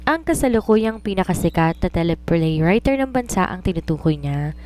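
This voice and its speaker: A woman narrates a romance novel in a lower-pitched voice. It is scripted storytelling, read aloud as a narrator would. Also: sing-song, normal speed, darkish tone.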